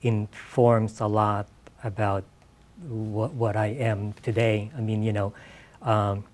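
A man's voice: on the low side, little pitch movement, slow pace, darkish tone. Middle-aged Filipino-accented man, hesitant talker. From Philippines. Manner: hesitant, repeats words as he searches. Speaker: author being interviewed. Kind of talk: conversational on-stage interview. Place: on stage in an auditorium before an audience. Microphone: lapel mic.

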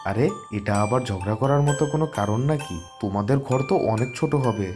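A man's voice: mid-range voice, a little fast, music in the background, close to an everyday voice. A man voicing a good-natured young village builder in a cartoon, curious and easygoing. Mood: amused, practical.

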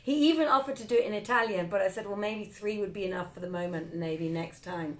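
A woman's voice: mid-range voice, lively intonation, very fast, slight background noise, poor audio. A woman co-hosting a live reading in a bookshop, chatting casually and laughing often. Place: bookshop room.

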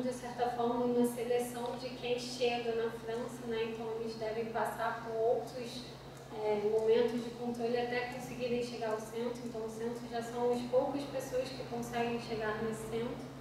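A woman's voice: on the high side, flat delivery, brisk, far from the mic, noisy background. Woman asking a question, echoey room. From Brazil. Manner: earnest, slightly hesitant, ends with "né?". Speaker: audience member asking questions. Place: university seminar room. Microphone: handheld mic, filmed from far back.

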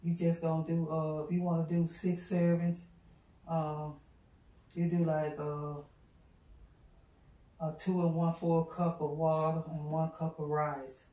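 A woman's voice: deep voice, level tone, measured pace, pauses often, warm tone. A middle-aged woman cooking at home, chatting casually to viewers with lots of fillers and y'all. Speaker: cooking vlogger. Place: home kitchen, indoors. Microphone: far camera on counter. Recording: roomy kitchen sound from a distance.

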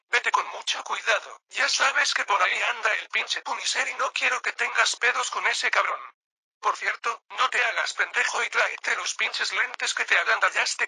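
A man's voice: high-pitched, pushed and bright, slightly rough, no echo, full character voice, poor audio. A man playing an affectionate, foul-mouthed gang member leaving a slangy voice message in a Mexican accent, in an amateur crime drama. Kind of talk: casual slangy voice message acting. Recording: thin, harsh voice message playback.

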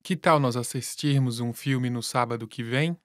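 A man reading scripted lesson dialogue in a plain, relaxed way. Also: medium pitch, normal speed, no echo, studio quality. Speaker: language lesson voice actor.

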